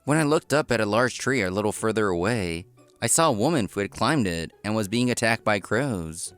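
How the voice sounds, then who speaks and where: A man's voice: lowish voice, expressive, brisk, fairly bright tone, smooth, soft background music. A man reading scripted first-person narration for a manga story. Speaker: narrator and lead character.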